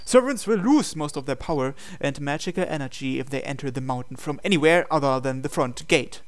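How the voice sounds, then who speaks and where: A man's voice: mid-range voice, expressive, fairly quick. A man hosting a visual novel playthrough, reading the narration aloud dramatically and adding his own commentary. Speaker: host reading a game aloud.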